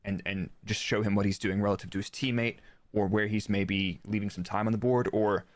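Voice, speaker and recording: Low-voiced American man explaining technical detail. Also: fairly even intonation, a little fast, no room sound. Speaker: guest, former Formula 1 performance engineer.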